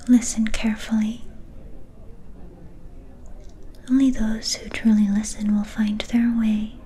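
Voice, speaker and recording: A woman playing a mysterious, soft-spoken vampire seer in an ASMR fantasy roleplay, whispering in a breathy, ominous and cryptic way. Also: on the high side, level tone, lightly acted. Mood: ominous, coaxing. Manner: slow, deliberate, cryptic.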